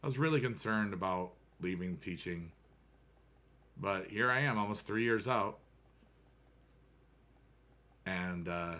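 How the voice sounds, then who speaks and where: A man's voice: low voice, slow, pauses often, sharp tone. An American man in his thirties chatting casually with viewers on a game stream, rambling and upbeat with playful rhymes.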